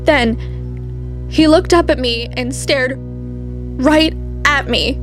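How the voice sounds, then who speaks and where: A snobbish, wealthy teenage girl, played by a woman narrates with sarcastic drama. Her voice sits high, and clear music plays behind her. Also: slow, a bit bright. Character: snobbish rich teen girl.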